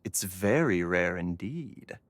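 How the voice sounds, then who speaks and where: A man's voice: deep voice, expressive, slow, slight background noise. A man playing a courteous, wry pharmacist in a video game, with a polite lilting way of talking. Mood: informative.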